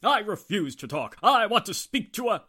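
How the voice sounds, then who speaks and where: A man as a bright-voiced, desperate villain. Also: high-pitched, sing-song, moderate pace, slightly rough, full character voice. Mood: defiant, panicked. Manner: agitated, stammering protest. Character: desperate, defiant male murder suspect, self-pitying villain. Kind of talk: melodramatic 1940s radio acting.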